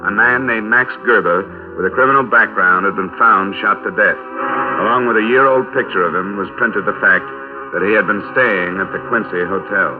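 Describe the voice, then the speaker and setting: An American actor playing a matter-of-fact, probing insurance investigator in old-time radio detective drama, with clipped delivery. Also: male voice, on the low side, normal speed, natural voice. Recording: tinny vintage radio recording with music.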